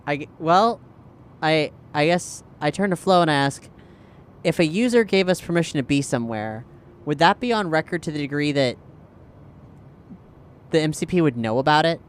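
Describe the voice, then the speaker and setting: Clear, higher-pitched man planning a game move. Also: animated, normal speed, pauses often. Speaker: tabletop role-playing player. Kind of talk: casual game planning chat.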